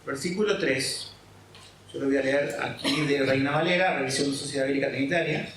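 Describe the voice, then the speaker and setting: A man's voice: medium pitch, fairly quick, fairly bright tone, a little hiss or hum. A man from Argentina, a pastor preaching a sermon and explaining scripture, often checking in with his listeners. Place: church hall. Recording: echoey hall, mic at a distance.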